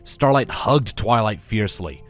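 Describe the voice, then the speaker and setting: A man's voice: on the low side, expressive, a little slow, faint music behind. A man narrating a scripted story as a reader. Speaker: fan fiction reader.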